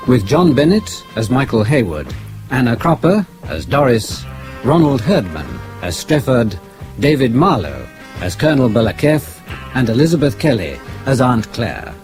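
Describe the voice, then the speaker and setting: Animated male radio announcer over music. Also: medium pitch. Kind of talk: scripted closing credits announcement.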